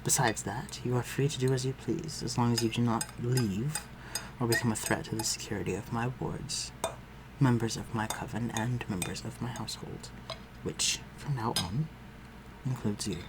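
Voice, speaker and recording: Male actor as noble vampire master, gravelly creaky voice, measured and formal, condescending yet protective, audio drama, noisy backdrop of clinking tableware. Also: mid-range voice, natural voice. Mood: reassuring, protective. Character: aristocratic male vampire master, civil, protective, coolly superior. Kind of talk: scripted audio roleplay addressed to the listener.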